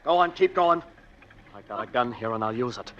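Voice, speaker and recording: An actor playing a jittery, menacing armed killer in a 1950s radio thriller, curt and threatening. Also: male voice, medium pitch, animated, brisk, natural voice. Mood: menacing, impatient. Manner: curt, threatening, barking orders. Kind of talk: 1950s radio suspense acting. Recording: dull, hissy 1950s radio recording.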